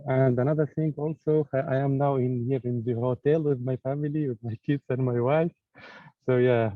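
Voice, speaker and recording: A man's voice: medium pitch, dry recording. A man from Tunisia presenting his project on a video call from a hotel room, hesitant and full of fillers and restarts. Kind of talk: online conference pitch. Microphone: video call from a tablet. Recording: dry, thin phone-like call audio.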